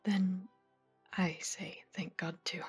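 An actress playing a gentle, polite young Victorian lady in a period mystery audio drama, with a breathy voice. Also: medium pitch, measured pace, faint music behind. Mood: quiet tenderness. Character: genteel young Victorian lady, composed and tender.

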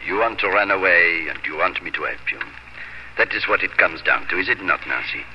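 An actor playing a loyal, cautious French-Canadian frontier guide in a 1950s radio drama. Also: male voice, deep voice, lively intonation, a little fast. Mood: grave, cautious. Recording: dull, noisy vintage radio recording.

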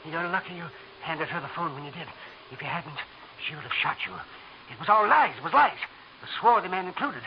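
An actor playing a frantic male villain who talks rapidly and breathlessly, repeating his words, in 1940s radio acting from an old, dull, hissy broadcast. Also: on the high side, expressive. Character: frantic male villain confessing, fast and shrill.

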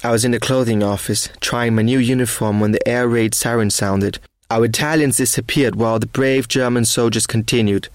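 An actor reading as a sceptical German army officer, giving a measured, matter-of-fact wartime diary account. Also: male voice, mid-range voice, fairly even intonation, dry recording, lightly acted. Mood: resigned, wry. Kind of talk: read-aloud wartime diary, measured dramatic narration.

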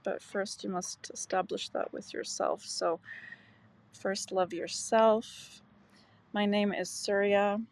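A woman's voice: medium pitch, fairly even intonation, slow, creaky, darkish tone. A woman from Canada sharing personal thoughts in an earnest, conversational way, as a speaker in an audio chat room.

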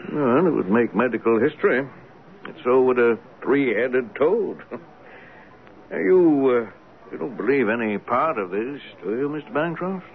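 An actor playing a skeptical, rational doctor in a radio drama, with a dry, dismissive, formally polite manner. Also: male voice, mid-range voice, expressive, unhurried. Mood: dryly dismissive. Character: skeptical rational country doctor, male, mildly mocking. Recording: tinny, noisy old radio broadcast.

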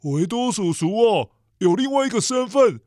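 High-pitched excitable Taiwanese uncle. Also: male voice, normal speed, dry recording, studio quality. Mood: chatty, confiding. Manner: exclamatory, folksy, stammering in indignation. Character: excitable old Taiwanese uncle, garbage-truck storyteller turned space hero. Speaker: children's story show host. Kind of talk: children's radio play acting. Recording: music loud behind the voice.

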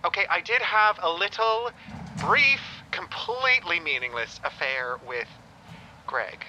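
A very bright, forceful male voice as a flamboyant, gushing ex-boyfriend in an audio comedy-drama. He rambles theatrically and swoops up and down in pitch, with faint noise behind him. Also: very animated, a little slow, exaggerated voice, poor audio. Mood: anxious, confessing.

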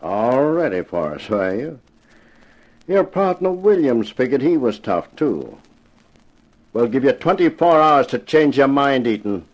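An actor playing a cool gangster strong-arm man with a measured, dryly threatening manner in a 1950s radio crime drama. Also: mid-range voice, big pitch swings, low-quality recording. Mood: calm threat.

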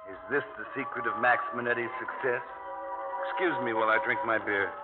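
A man's voice: mid-range voice, steady tone, brisk, natural voice. An actor playing a bitter, coldly resentful man with sardonic, clipped speech in old radio drama. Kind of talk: 1940s-50s radio drama acting. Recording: tinny old radio, loud music behind.

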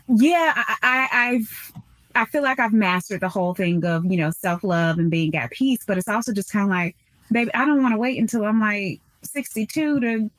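Woman in her 30s, higher voice, chatty and animated, dry studio sound. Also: no room sound, rough recording. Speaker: podcast co-host. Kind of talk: podcast conversation.

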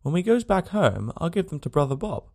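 A small, high, earnest little girl of five, as a male narrator puts her on, guileless and simple in her folksy grammar. Her voice sits well above the reader's own, with a gravelly edge to it. An audiobook voice, plainly childlike. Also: very animated, a little fast, a little mellow, full character voice.

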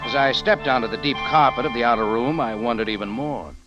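An actor playing a wry, easygoing American cafe owner and adventurer in a 1950s radio drama, with casual noir-style delivery. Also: male voice, mid-range voice, fairly quick, highs cut off, lightly acted. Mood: intrigued, narrating. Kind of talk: 1950s radio drama acting, first-person noir narration. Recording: vintage radio with music behind.

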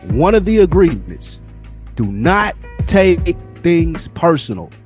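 Male podcast co-host, higher-pitched, slangy and emphatic, arguing sports over music. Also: very animated, takes it slowly, a little mellow. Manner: emphatic, argumentative, repeats phrases for stress; slangy, profane. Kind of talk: casual heated sports debate.

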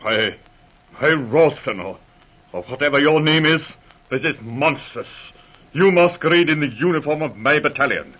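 Pompous, husky army colonel. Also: male voice, fairly high pitch, expressive, normal speed, fairly bright tone. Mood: shocked, outraged. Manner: pompous, blustering. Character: blustering, pompous, indignant army colonel, male. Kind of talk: 1940s radio drama acting. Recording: muffled old radio recording.

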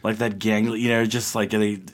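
A man chatting casually as a podcast panelist, joking and rambling in an animated way. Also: on the low side, normal speed. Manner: animated, rambling, heavy use of "like".

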